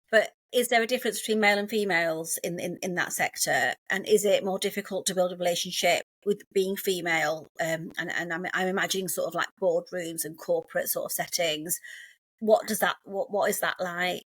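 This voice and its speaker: A woman's voice: on the low side, a bit bright, dry recording. A woman hosting a podcast, asking long, meandering questions full of restarts. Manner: rambling questions with many restarts, frequent "mm-hmm". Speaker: podcast host. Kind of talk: interviewer conversation.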